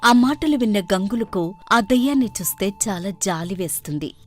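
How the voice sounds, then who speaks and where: A woman's voice: medium pitch, lively intonation, normal speed, soft background music. A woman storyteller reading scripted narration for a children's moral story.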